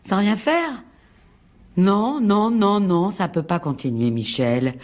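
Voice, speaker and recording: An actress playing a frightened, fragile and insistent wife in a French radio drama, speaking in halting, pleading repetitions. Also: female voice, mid-range voice, expressive. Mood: desperate, pleading. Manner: halting, pleading, repeats words.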